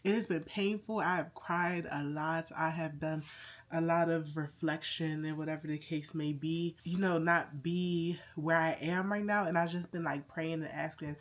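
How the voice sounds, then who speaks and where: Candid woman in her thirties, low-pitched. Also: steady tone. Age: 30s. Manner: candid and heartfelt, drawn-out emphasis. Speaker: vlogger. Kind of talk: casual confessional vlog.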